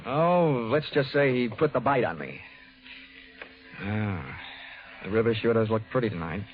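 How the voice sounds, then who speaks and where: An actor playing a wry, hardboiled, flirtatious insurance investigator in 1940s radio noir style. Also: male voice, lowish voice, animated, a little slow. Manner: wry, wisecracking. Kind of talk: 1940s radio acting, first-person noir narration. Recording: dull 1940s radio broadcast with music.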